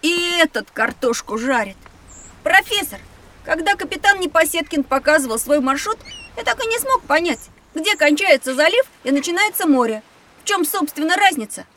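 Very high-voiced cheeky schoolboy. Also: female voice, big pitch swings, moderate pace, a bit bright, far from the mic, a little hiss or hum. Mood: sceptical, curious. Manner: matter-of-fact, doubting, inquisitive. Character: cheeky, sceptical curious schoolboy. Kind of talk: children's educational audio drama.

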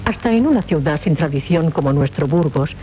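An actress playing a witty, haughty princess in 1970s radio theatre, speaking in an ironic, mischievous way. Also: female voice, animated, brisk, mellow. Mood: teasing, ironic. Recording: faintly noisy, rumbly 1970s radio recording.

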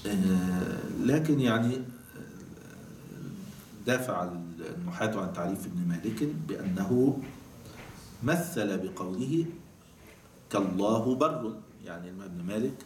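A man with an Egyptian Arabic accent teaching a classical grammar text. He explains patiently, reads quotations slowly and answers his own questions. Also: fairly low pitch, darkish tone. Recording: echoey room, voice from a distance, faint noise.

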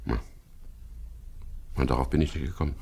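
A man's voice: fairly even intonation, normal speed, darkish tone, full character voice. A man acting in a 1970s German radio crime drama as a frank, guarded boat skipper, plain-spoken in dialogue and narration. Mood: frank, dry. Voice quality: very deep, gravelly. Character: frank, wary male boat skipper caught up in a murder case.

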